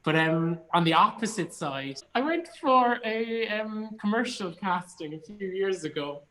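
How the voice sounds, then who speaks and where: A man's voice: sits high, expressive, measured pace, sharp tone, rough recording. A man in his thirties with a Cork Irish accent, chatting casually and emphatically in an interview, with a self-deprecating way of telling stories. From Ireland. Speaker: interview guest, actor.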